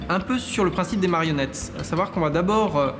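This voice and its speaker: A man's voice: high voice, a little fast, noisy background. A man explaining a technical craft in an interview as an animation specialist.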